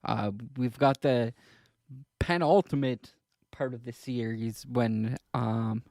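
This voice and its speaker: A man co-hosting a podcast in a casual discussion, explaining things in an informal, halting and rambling way. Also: mid-range voice, steady tone, darkish tone, no room sound. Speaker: podcast co-host. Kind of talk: casual discussion of films and politics.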